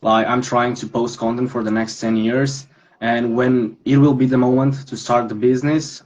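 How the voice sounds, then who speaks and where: A 19-year-old man from Romania, a guest on a podcast who talks earnestly and with care about his work over a video call. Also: mid-range voice. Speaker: guest, digital creator. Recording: dull lo-fi call audio.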